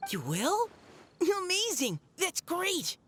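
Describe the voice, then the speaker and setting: An actress playing an earnest, excitable young woman in a video game, heartfelt, eager and a little flustered. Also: very high-pitched, takes it slowly, slight background noise, heavily acted. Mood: delighted. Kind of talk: dramatic video game dialogue.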